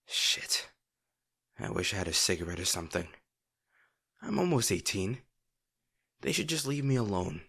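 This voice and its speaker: A young man performs an abrasive teenage bully who cares more than he admits. His husky voice swings widely in pitch. He talks gruffly and straight at the listener, with curses and sighs, in the style of an intimate audio drama. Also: very animated, sharp tone, full character voice. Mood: muttering, irritated. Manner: gruff, swearing, sighing, halting when upset. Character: brash teenage bully boy, abrasive but secretly caring.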